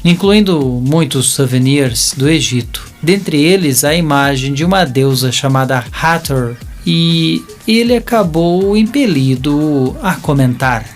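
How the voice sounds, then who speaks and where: A man with a Brazilian accent reading scripted story narration for an audio drama. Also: medium pitch.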